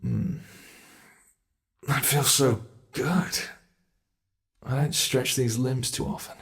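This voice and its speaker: A man's voice: mid-range voice, steady tone, slow, close to an everyday voice. A man voicing an ancient, playful monster under the bed, with a British accent, teasing and menacing in a whispery ASMR roleplay.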